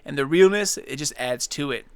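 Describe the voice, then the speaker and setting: A man's voice: a little high, lively intonation, normal speed, clean tone, no echo. A young man hosting a gaming channel, chatting enthusiastically and speculating as he breaks down a trailer. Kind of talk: casual video commentary.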